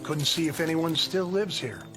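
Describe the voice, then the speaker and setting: An actor playing a courteous, resigned Union lieutenant in a radio drama, with a polite, unhurried, stoic delivery. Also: male voice, fairly high pitch, natural voice. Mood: calm, courteous. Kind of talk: dramatic radio play acting. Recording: studio radio drama with music underneath.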